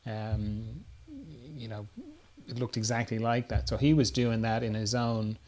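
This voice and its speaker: A man hosting a podcast, chatting casually in a halting, anecdotal way. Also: fairly low pitch, level tone, a little mellow. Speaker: podcast host. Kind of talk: casual chat.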